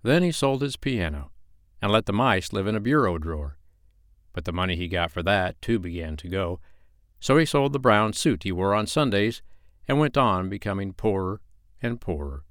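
Animated low-voiced male children's storyteller. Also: normal speed, no echo, studio quality. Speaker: storyteller, host of a bedtime reading series. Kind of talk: expressive children's story narration.

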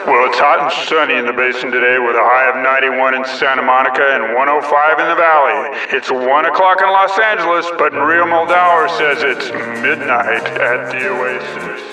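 An actor playing an upbeat rock radio disc jockey, delivering patter about weather and songs in a radio play. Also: male voice, mid-range voice, fairly even intonation, moderate pace, few pauses. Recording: distant, echoey radio with loud music. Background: music.

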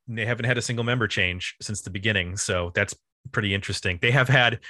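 A man hosting a casual music discussion, thinking aloud in a halting, conversational way. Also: mid-range voice, bright, forceful tone, dry recording. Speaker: podcast host.

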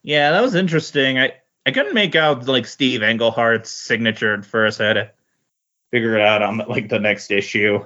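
A man speaking as a podcast guest in a casual comic book discussion, halting and often repeating words. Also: mid-range voice, bright, forceful tone. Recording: dull lo-fi voice recording.

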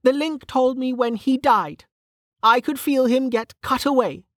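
A woman's voice: high-pitched, a little slow, no room sound, clean studio sound, voice pushed up. A woman voicing a bitter, weary, hot-tempered and foul-mouthed woman in an audiobook, snappish and scornful. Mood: bitter despair.

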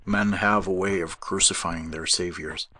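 A man voicing a tired, sad older professor in a story, speaking quietly, slowly and gravely. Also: lowish voice, very animated, exaggerated voice. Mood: weary sadness.

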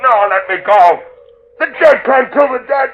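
An actor playing a panicked dead murderer, wailing and pleading in a 1940s radio horror play. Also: male voice, very high-pitched, expressive, unhurried. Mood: terrified. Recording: muffled, crackly old radio recording.

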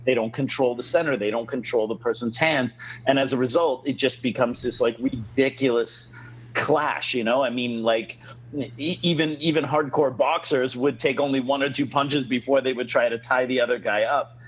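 A man's voice: mid-range voice, steady tone, moderate pace, muffled recording, slight background noise. A man hosting a casual podcast, a martial arts instructor, ranting frankly with many fillers.

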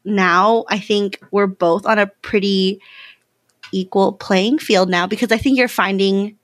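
A woman's voice: mid-range voice, steady tone, measured pace, no room sound. An American woman in her late twenties chatting casually as a podcast guest, informal and giggly, often saying "like". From California, United States. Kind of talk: casual chat.

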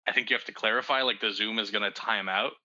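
A man with a very bright, forceful voice on a slightly dull recording. He talks casually through script notes and acts out sample lines as he goes. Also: on the low side, steady tone, fast talker. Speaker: script reader giving feedback. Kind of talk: casual creative feedback chat.

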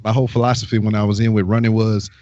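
A man's voice: fairly low pitch, little pitch movement, rapid-fire, mellow. A man telling anecdotes in casual banter, swearing freely, on a dull recording. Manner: crude, heavy swearing, anecdotal. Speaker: military veteran.

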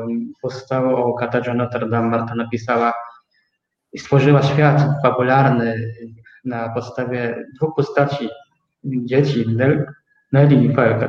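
Male interview guest, mid-pitched, halting explainer, roomy and slightly muffled recording. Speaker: guest, start-up co-owner. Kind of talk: interview answer about own business. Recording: roomy, slightly dull sound.

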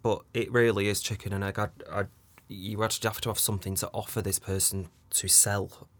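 A man, a casting director being interviewed on a podcast, who talks with many fillers, pauses and small laughs. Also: fairly low pitch, little pitch movement, no echo.

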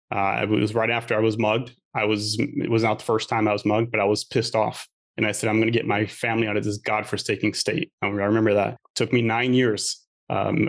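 Chatty middle-aged man, native French speaker. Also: medium pitch. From Queens, New York, USA. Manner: chatty, joking, confident. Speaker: podcast guest. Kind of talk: casual interview storytelling.

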